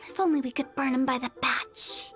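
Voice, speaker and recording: A woman voicing a playful young woman who runs a funeral parlor and pushes sales pitches with a teasing, mischievous manner in a game. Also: fairly even intonation, normal speed, a bit bright, faint music behind. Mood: breezy.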